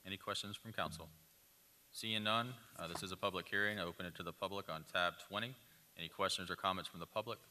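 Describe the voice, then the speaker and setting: A man's voice: low-pitched, fairly even intonation, fast, sharp tone, slight background noise. A man chairing a city council meeting as mayor, running motions and public hearings in routine procedural phrasing. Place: council chamber. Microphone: desk microphone.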